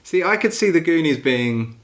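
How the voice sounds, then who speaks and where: A man co-hosting a podcast in casual, excitable, jokey banter. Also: a little high, normal speed. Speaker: podcast co-host. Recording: faint background noise with clicks.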